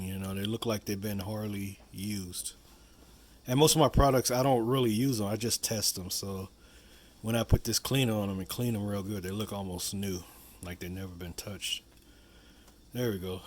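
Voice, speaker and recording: A man with a fairly low voice hosts a casual how-to video. He talks through a hands-on demonstration in a loose, rambling way, often circling back to repeat his points and dropping in little asides. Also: slight background noise. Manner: rambling, repetitive, with frequent "you know" asides. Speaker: video host demonstrating a product.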